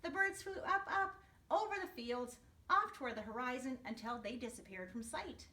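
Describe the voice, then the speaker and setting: A woman reads a children's story in a bright, high voice, explaining things to her young listeners as she goes. She is expressive and teacherly. The room echoes and the microphone sits some way off. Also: normal speed, recorded from a distance. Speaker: first grade teacher reading to her class. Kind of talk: storybook read-aloud for young children with asides.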